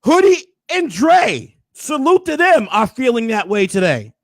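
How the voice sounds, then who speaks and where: A hoarse man hosting a casual recap, hyping things up with preacher-like flourishes. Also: extremely high pitch, big pitch swings, a little slow, no echo, studio quality. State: hoarse, losing his voice. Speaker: host.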